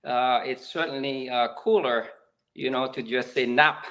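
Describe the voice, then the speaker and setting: Haitian-born man, 50s, guest on a video call, thoughtful, pauses a lot, mid-pitched voice. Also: fairly even intonation, fairly bright tone, poor audio. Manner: reflective, halting with many fillers. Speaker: guest, nonprofit executive director. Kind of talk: casual interview talk.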